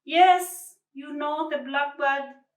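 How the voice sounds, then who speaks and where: Woman playing sheepish pleading crook, pitched up. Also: slow. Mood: pleading, insistent. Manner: defensive, pleading. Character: guilty male crook, sheepish and pleading like a caught child. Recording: roomy echo, distant mic.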